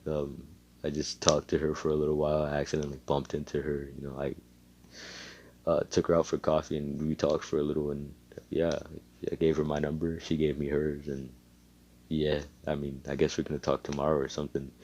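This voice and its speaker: Man playing an awkward reserved boy, low and quiet. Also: unhurried, pauses often, lo-fi sound. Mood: hesitant, shy. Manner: hesitant, flat, mumbling.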